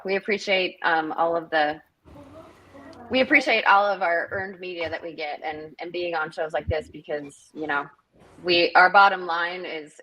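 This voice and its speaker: A middle-aged woman from northern Minnesota talks on a video call in a slightly low voice. She rambles casually and fills gaps with "um" and restarts, sounding easygoing and sincere. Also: bright, forceful tone, a little hiss or hum, poor audio. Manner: chatty, rambling with fillers. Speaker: festival director, guest. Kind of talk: casual interview chat.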